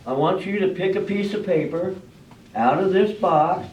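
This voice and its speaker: A man in an amateur stage play acting an orderly small-town official who directs people with folksy, halting authority. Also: high voice, darkish tone, natural voice. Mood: orderly, businesslike. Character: brisk, orderly small-town official running a public drawing. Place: library room, staged performance. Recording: echoey, muffled, distant room with faint noise.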